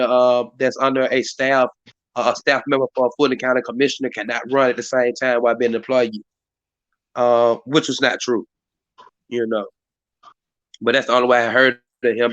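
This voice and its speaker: A hoarse man hosts a community live stream in a rambling way, full of fillers and restarts. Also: mid-range voice, fairly bright tone, no room sound, muffled recording. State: hoarse, voice partly lost. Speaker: community activist hosting a live stream meeting. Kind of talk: casual hosted talk. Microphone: live stream mic.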